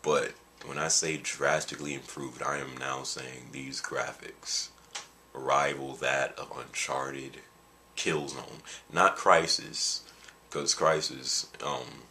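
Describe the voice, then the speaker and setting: A tired man with a very deep voice, chatting casually in a video and rambling with jokes, fillers and restarts. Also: little pitch movement, slow, bright, forceful tone, slight background noise. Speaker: gaming video creator. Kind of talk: casual vlog chat.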